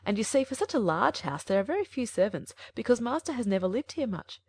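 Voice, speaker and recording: A woman's voice: fairly high pitch, big pitch swings, fast talker, a little mellow, slightly rough, dry recording, heavily acted. A woman voicing a composed, secretive older female servant who talks in a cool, demure, lecturing way. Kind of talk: audiobook character voice.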